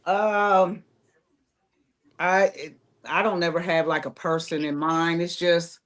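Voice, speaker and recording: A woman's voice: lowish voice, fairly even intonation, slow pace. A woman with an American accent speaking informally and conversationally as a playwright guest in a casual panel chat. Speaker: playwright and creator, interview guest.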